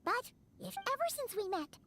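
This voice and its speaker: Tiny cheerful game fairy: squeaky-high, swooping, excitable and loyal, childlike delivery. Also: female voice, normal speed, exaggerated voice. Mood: earnest, determined. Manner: excitable, earnest. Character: small childlike floating fairy companion, cheerful, loyal, speaks in third person. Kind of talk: anime game voice acting.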